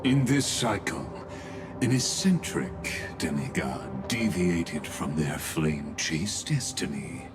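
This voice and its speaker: An actor voicing a composed, scheming game villain with sly, measured, formal courtesy. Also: male voice, rumbling low, big pitch swings, over music, heavily acted. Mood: grave, insinuating. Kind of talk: video game voice acting.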